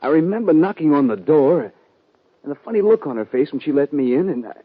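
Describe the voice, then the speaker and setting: An actor playing a wounded young outlaw in a 1950s western radio drama, speaking haltingly, dazed and evasive, in a husky voice. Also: male voice, a little high, very animated. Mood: halting, troubled. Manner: halting, faltering speech. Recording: muffled old radio recording.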